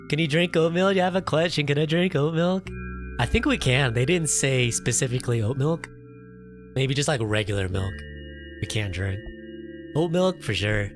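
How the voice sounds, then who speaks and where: Male game streamer, mid-pitched, dramatic read-aloud with playful casual asides, prominent music underneath. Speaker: streamer playing and reading a visual novel. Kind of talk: game let's play, reading text aloud with casual asides. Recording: loud music behind the voice. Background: game music and sound effects.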